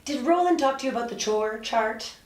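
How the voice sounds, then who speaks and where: A woman's voice: fairly high pitch, expressive, a little fast, close to an everyday voice. A woman acting a breezy, gossipy neighbour in a table read of a TV dramedy, talking casually. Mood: breezy, offhand. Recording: roomy echo, distant microphone.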